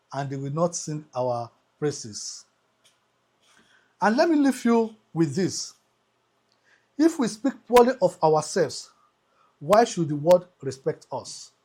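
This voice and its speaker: A man hosting a scripted motivational monologue, speaking emphatically like an orator, with deliberate repetition and pauses. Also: high voice, darkish tone, slightly rough. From Africa. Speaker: host.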